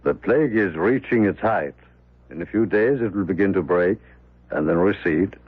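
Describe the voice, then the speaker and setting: An actor playing a formal, ominous manservant in an old radio drama, speaking in measured phrases. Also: male voice, deep voice, animated, slightly rough. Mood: grave warning. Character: grave, formal manservant, prophetic and accusing. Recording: muffled, narrow-band old radio broadcast.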